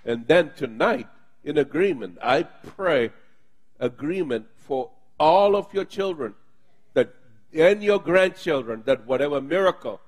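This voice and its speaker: A man preaching and leading his congregation in prayer, speaking fervently and with emphatic bursts. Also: fairly high pitch. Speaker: pastor.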